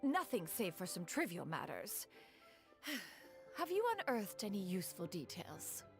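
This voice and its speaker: A woman's voice: fairly low pitch, sing-song, unhurried, music in the background. A woman voicing an aloof, ancient female adeptus who speaks in formal, archaic phrasing. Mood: composed. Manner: archaic formal speech, speaks of herself as one.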